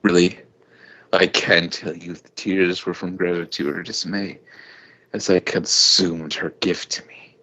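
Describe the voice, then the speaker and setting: A man reading fan fiction as an amateur, with a husky, often breathy voice and a hammy, halting delivery. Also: sits low, bright, forceful tone. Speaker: story reader.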